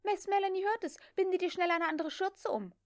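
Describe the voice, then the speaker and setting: A woman voicing a headstrong, impatient young Southern woman in an audiobook, speaking in a brisk, commanding way. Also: very high-pitched, voice pushed up. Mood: angry, urgent.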